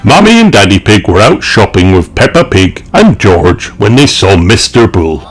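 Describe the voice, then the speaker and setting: A man reading a children's story aloud as narrator, expressive and deliberate. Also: lowish voice, breathy edge, soft background music. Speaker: audiobook narrator.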